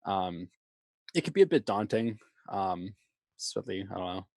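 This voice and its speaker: A man with a stutter chats as a podcast guest, using plenty of "like" and casual swearing. His voice sits on the low side. The recording is dry and close, with no echo. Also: animated, normal speed, a little mellow. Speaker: podcast guest, band vocalist. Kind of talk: casual chat.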